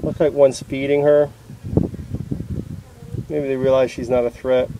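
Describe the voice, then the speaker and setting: A man quietly narrating to himself while filming beehives outdoors on a handheld camera. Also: medium pitch, flat delivery, measured pace, noisy background. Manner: soft-spoken, musing aloud to himself. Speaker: beekeeper vlogger. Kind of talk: casual running commentary while filming. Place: outdoors at a bee farm. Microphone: handheld camera mic. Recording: outdoor camera audio with insects buzzing. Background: bees buzzing and rustling grass.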